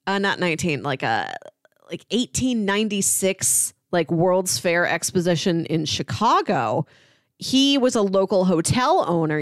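A woman's voice: lowish voice, no room sound, studio quality. A woman co-hosting a podcast in casual discussion, explaining things enthusiastically with plenty of "like" in her phrasing. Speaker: podcast co-host. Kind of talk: casual comic book discussion.

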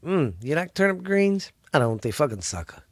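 A man's voice: on the high side, very animated, moderate pace, dry recording. A man with a Texan accent hosting his own podcast in a laid-back, rambling way, swearing freely and sounding stuffy and congested. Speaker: podcast host. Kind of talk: casual solo podcast chat.

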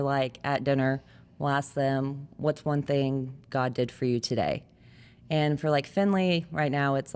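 A woman's voice: low voice, a little mellow, clean tone. An American woman in her thirties chatting as a parent, explaining things casually with plenty of "like". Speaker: parent sharing family testimony. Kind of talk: casual conversational testimony. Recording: long reverberant hall echo.

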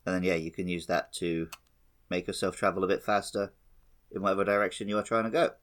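Male co-host, slightly low voice, casual conversational explainer for a gaming podcast. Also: fairly even intonation, fast talker, a bit bright. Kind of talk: casual video game discussion.

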